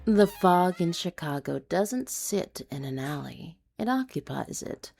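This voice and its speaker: A woman reading a noir urban fantasy story in a hushed, dramatic, deliberate way. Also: fairly low pitch, sing-song, gravelly, faint music behind. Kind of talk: scripted noir confessional storytelling.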